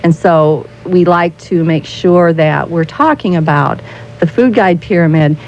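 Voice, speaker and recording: An American woman speaking as a guest spokesperson in a radio interview, explaining things in a halting way, often repeating and rephrasing her phrases. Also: on the low side, darkish tone. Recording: muffled 1990s radio recording with noise.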